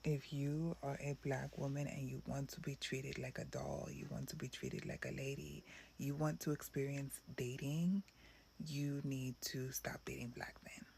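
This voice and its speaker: Lecturing woman with a gravelly voice. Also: extremely low pitch, flat delivery, moderate pace, dark tone, slight background noise. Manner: lecturing, emphatic. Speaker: dating advice commentator. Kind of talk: dating advice monologue.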